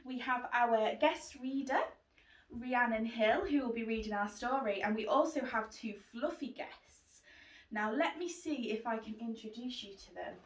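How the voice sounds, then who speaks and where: Woman, children's book club host, higher-pitched voice, chatty, roomy echo, away from the mic. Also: measured pace, distant mic. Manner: chatty, addressing children and pets. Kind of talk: presenting to young children.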